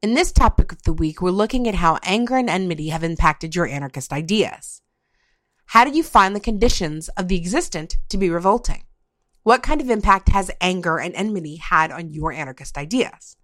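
A woman's voice: on the low side, expressive, a little fast, a bit bright, no echo. A woman hosting a podcast in a conversational style, introducing subjects and putting questions to others. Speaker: podcast host.

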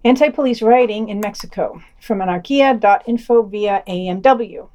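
Female podcast news reader, average pitch, scripted delivery, dry wit with a pedantic edge. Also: normal speed, dark tone. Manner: dry, wry, pedantic asides. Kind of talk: scripted news digest with wry commentary and read-out quotes.

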